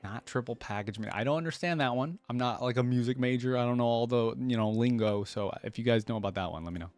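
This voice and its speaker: Very low-voiced woman casually reacting. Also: quick pace, a little mellow. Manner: laid-back, musing, echoes lines aloud. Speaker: reaction video host.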